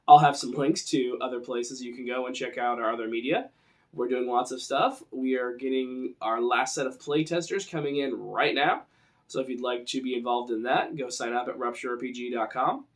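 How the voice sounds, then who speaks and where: A man hosts an informal role-playing tutorial. His voice sits at a medium pitch. He explains things casually, as if chatting to viewers through the camera. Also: normal speed. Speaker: role-playing game presenter.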